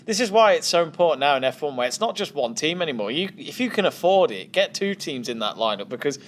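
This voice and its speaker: A man's voice: on the high side, very animated, quick pace, slight background noise. A man, a podcast guest giving joking, rambling opinions in casual chat.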